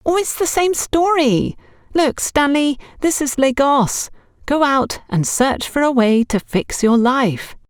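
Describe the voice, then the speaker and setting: A woman's voice: high-pitched, animated, slow, dry recording, studio quality, pitched above the performer's own voice. A woman voicing an exasperated young saleswoman in an audiobook, complaining with dramatic indignation about a scrounging boyfriend. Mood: exasperated, indignant. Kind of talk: audiobook character dialogue.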